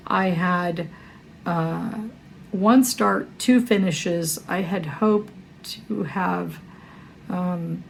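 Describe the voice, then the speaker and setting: A hoarse woman with a scratchy voice, chatting casually to camera about her stitching, rambling with many fillers and self-corrections. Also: fairly low pitch. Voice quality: scratchy, gravelly voice. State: hoarse from a cold. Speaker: cross-stitch vlogger.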